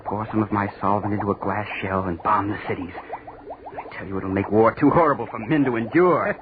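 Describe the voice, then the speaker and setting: A man's voice: lowish voice, fairly quick, close to an everyday voice. On a noisy, tinny 1940s radio recording, a man plays an unhinged young American student chemist. He mutters obsessively, repeats himself, and builds to fevered cries in old horror-drama style. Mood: gloating obsession.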